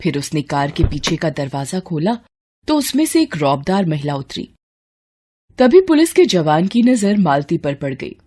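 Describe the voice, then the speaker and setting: A woman narrating a scripted story as a storyteller, in an expressive, dramatic manner. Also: deep voice, moderate pace.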